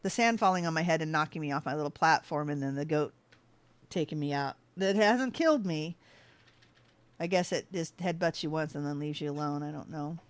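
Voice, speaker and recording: A woman's voice: low voice, brisk, dry recording. A woman streaming a game, chatting casually with her viewers, easily sidetracked and laughing often. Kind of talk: casual livestream chat while playing.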